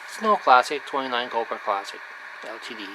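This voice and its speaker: A person talking casually and informally while showing hands-on work, rambling and trailing off mid-thought, with a medium-pitched voice. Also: big pitch swings, fast. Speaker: hobbyist showing a radio repair. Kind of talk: casual hands-on video narration. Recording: clearly noisy amateur handheld recording.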